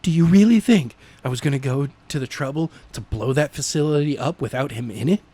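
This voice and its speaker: A man's voice: medium pitch, expressive, a little fast, mellow, close to an everyday voice. A man voicing a vengeful, impatient young woman who argues indignantly, in an amateur audiobook reading. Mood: impatient, smug.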